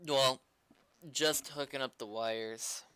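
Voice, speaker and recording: Guy streamer rambling off the cuff, very clean crisp voice, close dry sound. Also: medium pitch, fairly even intonation, sharp tone, no room sound. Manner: halting, rambling, sweary. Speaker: streamer doing game development. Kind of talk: casual unscripted stream chat.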